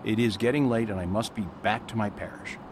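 A man playing a gentle, shrewd priest detective in a radio mystery, patiently explaining with amusement. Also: a little fast, natural voice. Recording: faint rumbling background noise.

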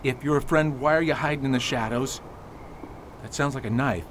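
A man's voice: medium pitch, fairly quick, a bit bright, slight background noise, natural voice. A man playing an aggrieved, jumpy thirty-year-old underdog in an audio drama. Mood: frightened.

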